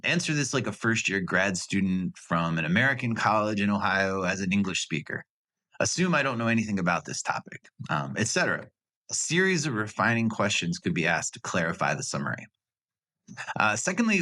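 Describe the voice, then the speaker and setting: A man's voice: lowish voice, pushed and bright. A man giving a recorded class project presentation in a conversational way, with dry asides. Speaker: graduate student presenter.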